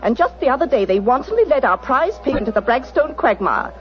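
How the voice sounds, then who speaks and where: An actress playing a haughty, bitter manor wife with a British accent, clipped and scornful, in 1940s radio style. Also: female voice, sits high, big pitch swings, a little fast, faint music behind. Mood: bitter, complaining. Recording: muffled vintage 1940s radio broadcast.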